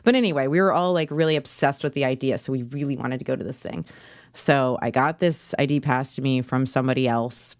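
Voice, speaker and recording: A woman's voice: very deep voice, brisk, no room sound. A woman telling a personal story as a podcast guest in a casual, chatty way, often laughing as she talks.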